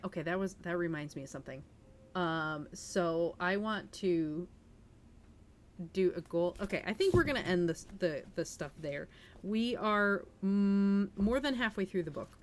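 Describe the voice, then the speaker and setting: A woman hosting a livestream, reading aloud in a storytelling style and chatting informally with her viewers. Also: medium pitch. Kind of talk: storytelling read-aloud and casual stream chat.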